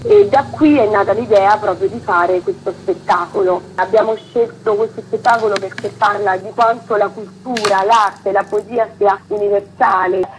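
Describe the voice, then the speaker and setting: A woman's voice: medium pitch, normal speed, few pauses, slight background noise, poor audio. A woman being interviewed on the radio as a theatre director, explaining earnestly in long, fluent sentences.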